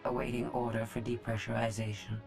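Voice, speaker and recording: An actress playing a precise, detached female droid in a video game cutscene, speaking formally in a measured, clinical manner. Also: rumbling low, little pitch movement, over music.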